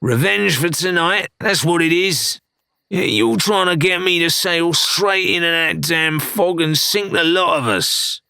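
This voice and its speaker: A man voicing a sneering, suspicious pirate thug who rants in a rough, accusatory way, as in an audiobook. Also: on the high side, a little slow, pushed and bright, dry recording, voice pushed up, full character voice. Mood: wary, accusing. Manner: spitting, accusatory, rough-spoken. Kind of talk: audiobook character acting.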